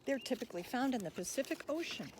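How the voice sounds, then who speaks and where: A woman reading a picture book aloud for children, expressive, adding explanatory asides. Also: fairly high pitch, quick pace, a little hiss or hum.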